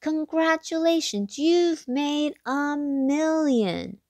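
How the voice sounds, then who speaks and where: A woman hosting a read-aloud, reading a children's picture book expressively, with big excited leaps in pitch. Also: very slow, no echo, studio quality.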